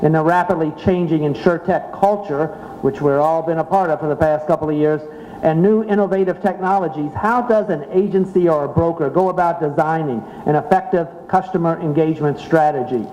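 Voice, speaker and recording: A man's voice: fairly high pitch, fairly quick, warm tone. A man moderating an insurance technology panel at a live conference event, introducing the speakers and reading out discussion questions. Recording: echoey hall, slightly dull, faint room noise.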